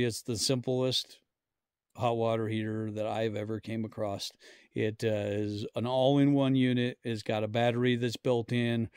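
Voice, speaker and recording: A man's voice: medium pitch, darkish tone, smooth, no echo, studio quality. A middle-aged man talking casually and plainly to viewers as an outdoor vlogger, explaining things in an easygoing way. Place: outdoors in snowy woods.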